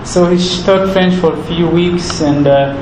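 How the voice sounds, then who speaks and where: A young man in his twenties with a Rwandan accent, a native Kinyarwanda speaker, answering interview questions earnestly and haltingly, often repeating words as he searches for them. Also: high voice, fairly even intonation. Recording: roomy with background noise.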